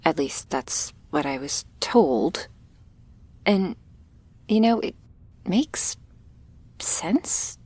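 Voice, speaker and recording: Woman acting as shy young vampire girl, asmr roleplay, super slow n hesitant, soft, creaky, apologetic. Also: on the low side, no room sound, heavily acted. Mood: awkward, hesitant. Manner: hesitant, halting, soft-spoken with whispery moments and nervous little laughs. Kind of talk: intimate ASMR roleplay, spoken to the listener.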